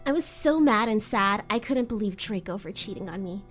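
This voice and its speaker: A woman's voice: mid-range voice, animated, fairly quick. A woman streamer giving a theatrical, amused live dramatic reading of fan fiction. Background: faint music.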